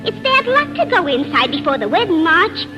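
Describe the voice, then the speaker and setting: A brassy, wry best friend, high-voiced with big swings in pitch. The acting is 1940s radio style. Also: female voice, very animated, fairly quick, music in the background. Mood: wry, bossy. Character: brassy, much-married female pal, bossy and wry.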